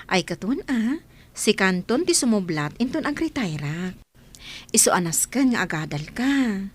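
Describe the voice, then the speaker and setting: A woman's voice: medium pitch, big pitch swings, a little slow. A woman with an Ilocano accent acting a nosy, exasperated office supervisor in a radio comedy drama. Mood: chatty. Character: bossy, nosy female office supervisor, exasperated with lazy cleaners.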